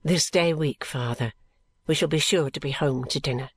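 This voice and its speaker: Woman doing an earnest young man, low and deferential. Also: normal speed, husky, dry recording. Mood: dutiful. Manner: humble, grateful, reverent. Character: earnest, deferential young bridegroom, devoted dutiful son. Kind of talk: audiobook character acting.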